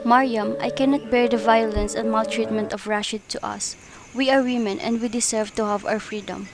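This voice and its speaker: A woman's voice: on the high side, steady tone, moderate pace, music in the background. A young woman acting earnest lines in an amateur student radio play. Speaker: student voice actor.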